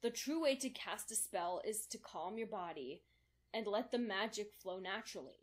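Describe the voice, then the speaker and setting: A woman playing a calm, exacting female unicorn mentor in a fan audio drama, speaking in a formal, instructive manner. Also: mid-range voice, natural voice.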